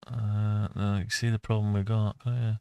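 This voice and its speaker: A man's voice: on the low side, level tone, moderate pace, darkish tone, smooth, dull audio. A man giving a casual think-aloud tutorial, muttering to himself as he talks through each step. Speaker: host of a CAD design tutorial.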